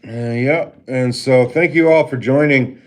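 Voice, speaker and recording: A man with a deep voice hosting a casual livestream, greeting viewers by name and talking with many halting filler pauses. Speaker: livestream host. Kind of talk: casual live stream chat with viewers. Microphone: laptop mic.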